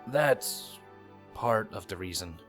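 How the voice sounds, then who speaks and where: A man's voice: on the low side, lively intonation, takes it slowly, a bit bright, heavily acted. A man voicing a devoted, protective big-brother unicorn stallion, earnest and emphatic, in a storybook reading. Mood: reassuring. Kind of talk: audiobook character voice in fan fiction. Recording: music playing behind the voice.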